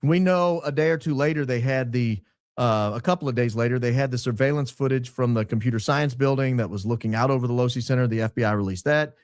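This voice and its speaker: A man hosting a casual commentary on audio clips, questioning, halting and often repeating himself. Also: medium pitch, clean tone, no room sound, clean studio sound. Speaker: host.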